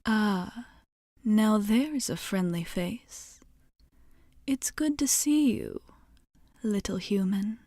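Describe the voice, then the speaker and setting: Casting: actress for female vampire bartender, ASMR roleplay. Unhurried, hushed, intimate, very dry sound; courteous, guarded, old-fashioned in phrasing. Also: mid-range voice, no echo, lightly acted. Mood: fond, welcoming. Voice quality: soft, intimate, breaking into whispers. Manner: formal, old-fashioned, gently polite phrasing.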